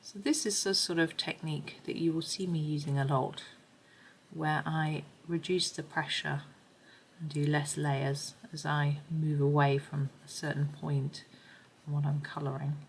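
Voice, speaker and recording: A middle-aged woman with a slightly croaky voice, chatting casually and thinking aloud as she demonstrates something on camera. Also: low voice, fairly even intonation, a little slow, slight background noise. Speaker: host of a colouring supplies review. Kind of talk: casual chat while demonstrating.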